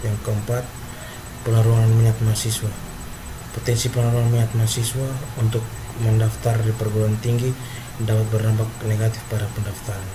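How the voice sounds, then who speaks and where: A man's voice: on the low side, completely flat delivery, fairly quick. A young man reading a scripted student slide presentation. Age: young adult. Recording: clear background noise, ambient sound.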